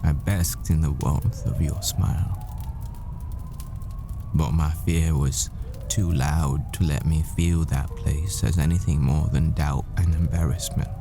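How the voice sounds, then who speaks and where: A man playing a tender, desperate boyfriend, a secretive professor, in an intimate, halting, wistful audio roleplay monologue. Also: very deep voice, steady tone. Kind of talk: intimate ASMR-style dramatic roleplay monologue. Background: music.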